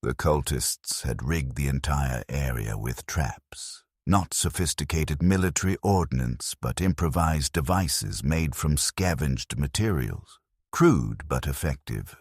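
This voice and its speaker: A man narrating a dramatic scripted first-person war story as a grim, war-weary soldier, in a grave, measured, deliberate delivery. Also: very deep voice, animated, breathy edge, no echo, studio quality. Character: grim war-weary penal legion soldier recounting battles. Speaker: narrator of a fan lore channel.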